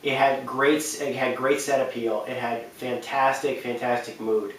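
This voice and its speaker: A man's voice: mid-range voice, fairly even intonation, moderate pace, a little hiss or hum. A man hosting a video game countdown with casual, unscripted commentary, stressing and repeating words for emphasis. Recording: very roomy echo, distant from mic.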